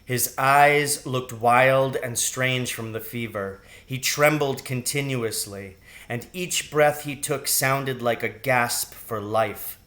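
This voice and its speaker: Slow-paced man reading a storybook. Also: mid-range voice, fairly bright tone. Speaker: audiobook reader. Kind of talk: scripted storybook narration.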